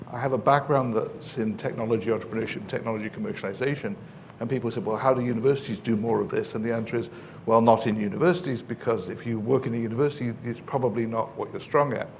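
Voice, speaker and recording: A man with an English accent answers a question on a conference panel, speaking at an ordinary middle pitch. He talks like a professor, explaining his points slowly and carefully. He stumbles through restarts and drops plenty of "uh"s and "um"s. A faint hiss sits under his voice. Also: steady tone. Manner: hesitant, many fillers and restarts, explanatory.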